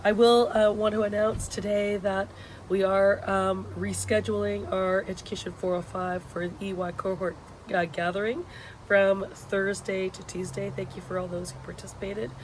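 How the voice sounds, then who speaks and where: Hoarse woman vlogging over loud outdoor noise. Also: medium pitch, flat delivery, normal speed, low-quality recording. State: hoarse, sick with a lost voice. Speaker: university education instructor hosting a video blog. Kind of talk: informal course announcements to students. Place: outdoors on a patio.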